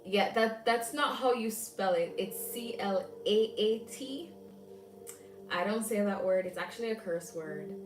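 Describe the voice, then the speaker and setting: A woman's voice: mid-range voice, unhurried, reverberant, far from the mic, soft background music. A young Jamaican woman chatting casually on a livestream, reading and answering viewer comments aloud. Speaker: livestream host.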